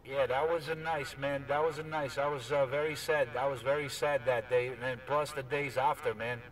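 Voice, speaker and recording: A man's voice: medium pitch, fairly even intonation, moderate pace, a crowd in the background. A man talking casually and informally with other operators over a CB radio. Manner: informal, often adds "man". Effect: CB radio transmission. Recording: distant, noisy CB radio.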